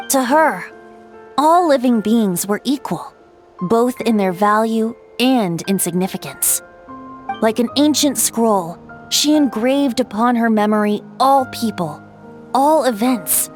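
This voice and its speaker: A high, youthful game-character voice acted by a woman for an ancient wind spirit turned bard. The delivery lilts with theatrical storytelling, playful and teasing with a wistful streak. Music plays behind it. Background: background music.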